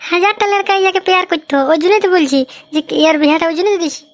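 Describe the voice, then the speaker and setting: Shrill, fast cartoon village mother. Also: dry recording. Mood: indignant. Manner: fussy, talkative. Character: pushy, talkative village mother of a cartoon bride. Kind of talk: cartoon comedy dialogue.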